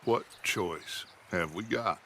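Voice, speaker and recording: An actor playing a commanding middle-aged American outlaw gang leader in a Western game, with a theatrical, persuasive, oratorical delivery. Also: male voice, low voice, slow pace, a bit bright, a little hiss or hum, full character voice. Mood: insistent, sardonic. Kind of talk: dramatic video game cutscene acting.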